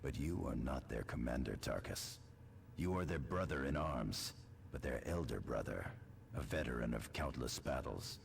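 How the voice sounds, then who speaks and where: Blunt grim old-soldier scout sergeant, super deep growly hushed voice, battle noise behind. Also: male voice, lively intonation, heavily acted. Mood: challenging. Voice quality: gravelly, hushed. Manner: blunt, measured, terse. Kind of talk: scripted video game acting.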